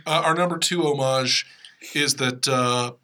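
A man's voice: medium pitch, unhurried, bright, forceful tone. A man with an American accent co-hosts a casual podcast chat with wry, teasing humor. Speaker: podcast co-host and radio play writer.

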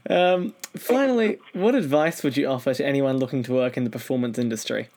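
A man's voice: mid-range voice, fairly quick, darkish tone. Young man hosting a podcast. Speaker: podcast host and reviewer. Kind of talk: conversational podcast presenting.

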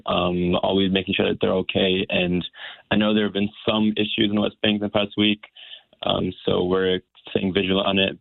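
Homem jovem de voz grave e rouca. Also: male voice, deep voice, gravelly, slightly rough, no echo, narrow, tinny audio. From United States. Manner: earnest, halting, many restarts. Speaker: guest. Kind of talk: personal account in interview.

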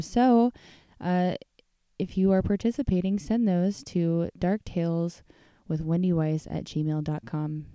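Low soft-edged lady podcast host, super mellow, chatty and candid, really dry close sound. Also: clean tone, no room sound. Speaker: podcast host and story reader. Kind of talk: casual chat and storytelling narration.

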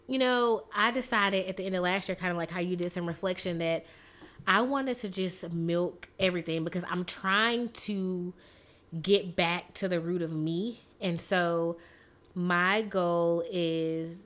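A woman with a raspy, hoarse voice from a cold, chatting as a podcast co-host and telling stories in a lively, filler-heavy way. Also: fairly low pitch, unhurried. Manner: chatty, animated storytelling with many fillers. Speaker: teacher. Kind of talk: casual chat.

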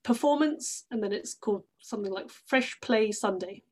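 A woman's voice: mid-range voice, normal speed, noisy background. A woman from London chatting casually as a guest on a podcast. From London, England. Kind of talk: casual chat.

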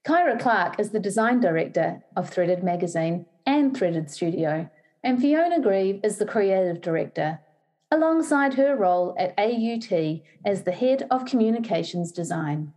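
A woman's voice: mid-range voice, expressive, a little mellow. New Zealand woman reading a podcast intro. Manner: measured read-out introduction. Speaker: podcast host.

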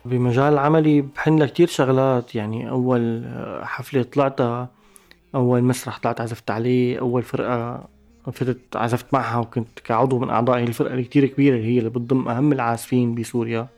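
A man with a Syrian Arabic accent, a musician giving a thoughtful interview, pausing and restarting mid-phrase. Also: mid-range voice, little pitch movement, a little mellow. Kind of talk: reflective interview talk.

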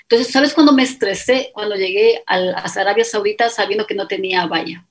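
A middle-aged woman with an Ecuadorian Spanish accent, a guest telling her story in a casual interview. She talks in an animated way and acts out other people's lines as dialogue. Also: medium pitch, fairly quick. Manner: animated, quotes others in dialogue, many fillers.

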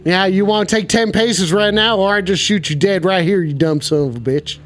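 A man's voice: high-pitched, fairly quick, close to an everyday voice. A man playing a hot-tempered, foul-mouthed cowboy with a put-on Southern drawl, blustering and repeating himself as he hosts an improvised comedy call-in stream. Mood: furious. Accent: put-on American Southern. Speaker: call-in show host.

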